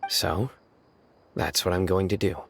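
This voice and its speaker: An actor playing a stern young enforcer of justice in a game, measured and resolute. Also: male voice, sits low, steady tone, fairly bright tone, slight background noise. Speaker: stern desert lawman. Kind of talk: game dialogue acting.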